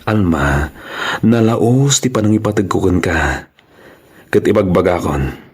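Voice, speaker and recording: Guy playing earnest young ilocano man in love, low raspy voice, radio drama. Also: lively intonation, unhurried, exaggerated voice.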